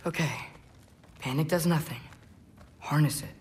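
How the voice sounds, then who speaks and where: Guy playing nervous earnest teen boy from a norse fantasy game, kinda high voice, halting. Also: slight background noise, close to an everyday voice. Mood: uneasy resolve. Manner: hesitant, halting. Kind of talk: video game voice acting.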